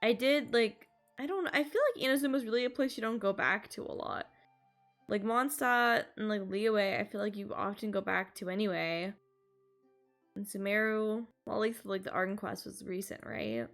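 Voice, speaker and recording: A woman's voice: medium pitch, moderate pace, a bit bright, soft background music. A woman livestreaming a game, with casual, informal and rambling commentary. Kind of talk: casual gaming stream commentary.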